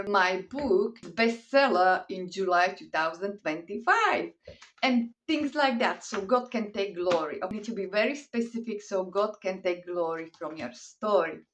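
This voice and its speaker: A woman whose first language is Slovak speaks English with a Slovak accent, earnest and encouraging, in plain, simple phrasing. Her voice sits at a middle pitch. She sounds a little distant from the microphone. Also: fairly bright tone. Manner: earnest, encouraging, simple non-native grammar. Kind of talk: encouraging faith talk to camera.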